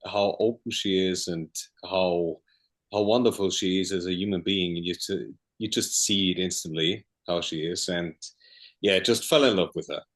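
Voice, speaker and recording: Deep-voiced man, eager and laughing. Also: fairly even intonation. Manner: eager, laughing, halting phrasing. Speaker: guest. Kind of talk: casual chat.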